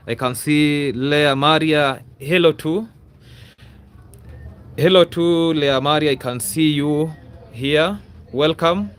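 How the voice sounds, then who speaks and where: A man with a Kenyan accent hosting a casual livestream, rambling and repeating himself, often adding a questioning "yeah?" to his sentences. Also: mid-range voice. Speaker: YouTuber, livestream host. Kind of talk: casual livestream chat to viewers.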